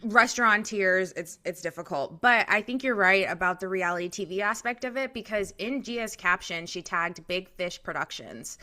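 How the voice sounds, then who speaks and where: A young woman co-hosts a casual podcast with a bright, forward voice. She is opinionated and chatty, often circling back to restart a thought. Her pitch sits in a middle range for a woman. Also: moderate pace, hardly any pauses. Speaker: podcast co-host. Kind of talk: casual reality TV gossip chat.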